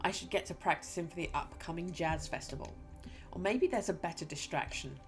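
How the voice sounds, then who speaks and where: A woman's voice: fairly low pitch, a little fast, faint music behind, close to an everyday voice. A woman with a stuffy nose reading aloud the inner thoughts of a cold, fear-hungry young musician in a game.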